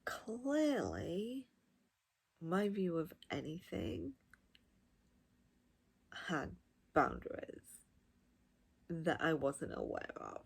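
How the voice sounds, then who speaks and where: Woman, unhurried pace, gravelly fry, casual reviewer, emphatic and gushing, halting phrases. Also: fairly low pitch, huge pitch swings. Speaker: audiobook reviewer. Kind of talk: casual, unscripted spoken review.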